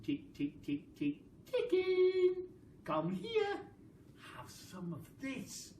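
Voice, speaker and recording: A head teacher voicing an eager, excitable young boy who shouts and coaxes. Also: very high-pitched, extremely slow, vocal fry, a little hiss or hum, pitched above the performer's own voice, exaggerated voice. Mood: coaxing. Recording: roomy sound from a distant mic.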